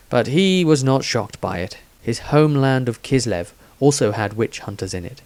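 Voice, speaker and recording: A man reading scripted narration of a fantasy story as its narrator. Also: lowish voice. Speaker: author narrator.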